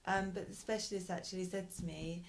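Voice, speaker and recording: A woman being interviewed as a parent in a casual conversation, talking thoughtfully and hesitantly with frequent pauses and restarts. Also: on the low side, steady tone, gravelly, poor audio. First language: English.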